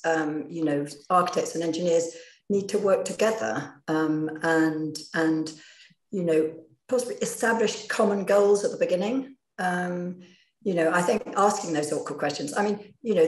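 A woman's voice: lowish voice, echoey room, low-quality recording. A woman in her fifties on a panel, speaking over a video call from her living room in a rambling way with many "you know"s. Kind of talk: panel discussion.

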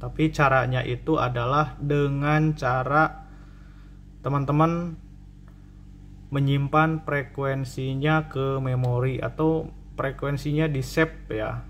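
A man's voice: medium pitch, fairly even intonation, slow pace, long pauses, slight background noise. A man giving a casual hands-on tutorial as a repair technician, repeating and rephrasing as he explains. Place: indoor cluttered repair workbench.

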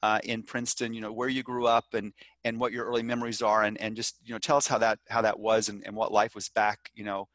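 A middle-aged man hosting an interview over a video call on a headset mic from home, brisk and chatty with many fillers. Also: lowish voice, level tone, fairly bright tone, dry recording. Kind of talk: hosted interview. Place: room at home.

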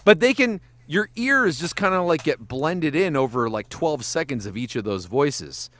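A man's voice: fairly high pitch, very animated, normal speed, fairly bright tone. A man, a voice actor and animator, giving casual podcast advice, swearing freely and breaking into voice demonstrations.

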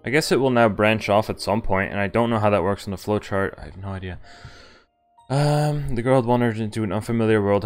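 Young guy with a lowish voice reading out a visual novel on stream, bit of casual commentary, music behind. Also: smooth. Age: young adult. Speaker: game streamer. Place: indoor room, webcam stream. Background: game music.

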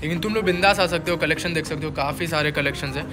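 A man's voice: on the high side, rapid-fire. A young man with an Indian accent narrating a casual shopping vlog, often mixing in English words and phrases. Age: young adult. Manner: mixes English words and phrases into his speech. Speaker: vlogger.

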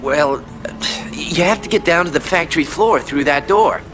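An actor playing an impatient, nervous stranded survivor in a video game, whiny and hesitant. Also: male voice, on the high side, normal speed, music in the background, lightly acted. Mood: anxious, impatient. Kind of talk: video game cutscene acting.

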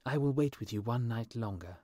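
A man voicing an eager, warm-hearted little male swallow in a storybook reading. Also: medium pitch, fairly quick, no room sound, clean studio sound, lightly acted. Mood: kind.

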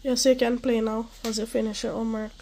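A woman's voice: a little high, little pitch movement, quick pace, vocal fry, darkish tone, a little hiss or hum. A young woman with the flu, stuffy-voiced, patiently coaxing her child through homework at home. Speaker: mother and vlogger. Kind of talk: casual home vlog, helping a child with homework.

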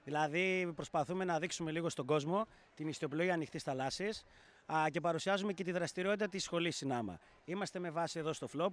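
A man in his thirties, director of a sailing school, being interviewed outdoors and explaining things in a measured way with hesitant fillers. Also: sits high. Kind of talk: street interview. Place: outdoor café terrace in a town. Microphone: handheld reporter mic.

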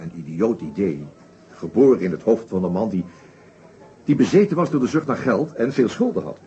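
Lively, deliberate detective, dull recording. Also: male voice, on the low side. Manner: explanatory, deliberate, with small hesitations and tags. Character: shrewd, methodical male detective inspector summing up a murder case. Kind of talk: Dutch radio play acting. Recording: dull old radio play recording.

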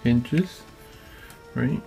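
A man hosting a craft tutorial, talking informally and haltingly as he thinks aloud while working. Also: medium pitch, vocal fry, warm tone, soft background music. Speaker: DIY maker and video host. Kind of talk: casual hands-on tutorial narration. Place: indoor craft workbench.

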